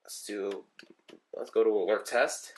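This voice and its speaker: A man's voice: lowish voice, steady tone, unhurried, heavy background noise. A man casually showing his weather radio collection on video, rambling with lots of ums and restarts. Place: room at home with shelves of radios.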